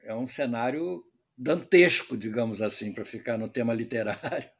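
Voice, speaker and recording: A man hosting a casual podcast chat, animated and quick to laugh. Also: mid-range voice, breathy edge. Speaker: podcast host.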